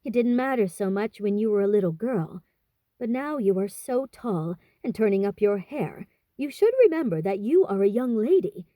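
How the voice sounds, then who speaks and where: A woman's voice: medium pitch, expressive, moderate pace, dark tone, no echo, natural voice. A woman voicing a proper, motherly teenage eldest sister in a storybook, earnest and inclined to lecture. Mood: lecturing. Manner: earnest, chiding.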